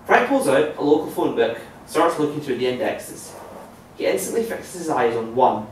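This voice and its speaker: A male narrator reads screenplay directions with a lively, varied pitch. The sound is very echoey and distant, with faint noise behind it. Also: moderate pace. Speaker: narrator reading stage directions. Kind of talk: scripted narration at a table read.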